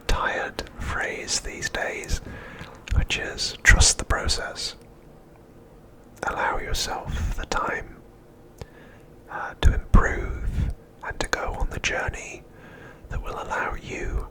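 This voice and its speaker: A man hosting ASMR in a breathy whisper, speaking in a gentle, soothing and reassuring manner. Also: rough recording. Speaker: ASMR channel host.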